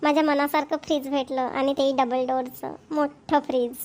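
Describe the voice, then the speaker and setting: A woman's voice: high voice, level tone, normal speed, darkish tone, close to an everyday voice. A woman voicing an eager young wife in a cartoon, coaxing and pleading with her husband. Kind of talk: cartoon voice acting.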